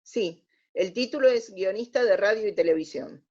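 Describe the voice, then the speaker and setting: Argentine woman chatting in an interview, soft dark tone, kinda muffled lo-fi audio, rephrases as she goes. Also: medium pitch, normal speed. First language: Spanish. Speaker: interview guest, scriptwriter. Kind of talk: conversational radio interview.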